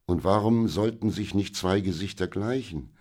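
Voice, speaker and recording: A man voicing a wise, calm older merchant and gentle father in a children's audiobook, speaking in a soothing, measured way. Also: low-pitched. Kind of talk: audiobook character voice.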